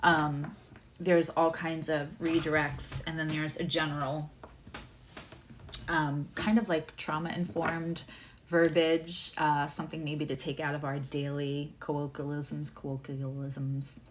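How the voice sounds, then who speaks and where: Low voiced lady doing tarot on a livestream, chatty and emotional, kinda deep. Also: flat delivery, unhurried, slight background noise. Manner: emotional, chatty, answering viewers by name. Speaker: tarot reader and astrologer. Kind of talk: livestream reading and chat.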